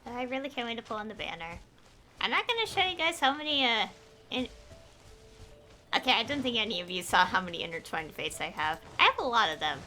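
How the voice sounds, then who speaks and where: A young woman doing casual livestream game commentary, excitable and rambling, often correcting herself. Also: on the high side, fast talker. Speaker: game streamer. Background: faint game music.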